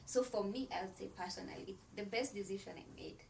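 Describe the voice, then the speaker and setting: An earnest woman speaks as a podcast guest, laying out her points one by one in a preacherly way, with plenty of "like" between her phrases. Her voice sits at an ordinary middle pitch. She is picked up from a little way off the mic, with a faint hiss of background noise behind her. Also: a little fast, creaky, a little mellow, recorded from a distance. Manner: loose filler-heavy phrasing with frequent "like". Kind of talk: faith-based motivational conversation.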